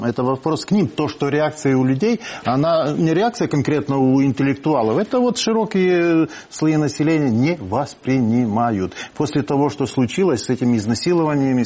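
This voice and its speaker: A man's voice: medium pitch, darkish tone. A man with a Ukrainian accent, a political scientist guest explaining his views in a studio discussion, repeating words as he reasons.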